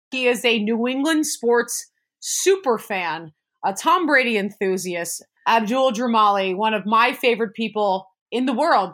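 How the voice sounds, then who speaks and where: Upbeat woman cohost from boston, bright clear voice, lots of likes and ums. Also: a little high. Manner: chatty, upbeat, lots of fillers. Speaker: podcast co-host. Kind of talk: casual podcast chat.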